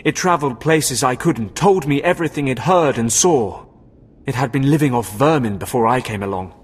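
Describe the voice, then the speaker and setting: A man's voice: mid-range voice, slightly rough. A man playing a curt, sinister Sith beast trainer in a video game, with a brusque, brooding delivery. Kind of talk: video game dialogue acting.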